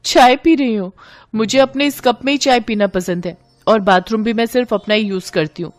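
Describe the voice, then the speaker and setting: A woman's voice: a little high, a little fast, no echo, faint music behind, natural voice. A woman voicing a spoiled, blunt young married woman with a breezy, pert manner in an audio story.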